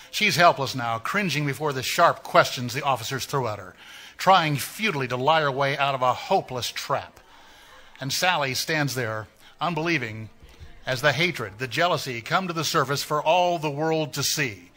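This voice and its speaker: A man playing a sinister, all-knowing narrator in a 1940s-style radio mystery, taunting the listener in a measured, ominous way. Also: mid-range voice, bright, forceful tone, natural voice.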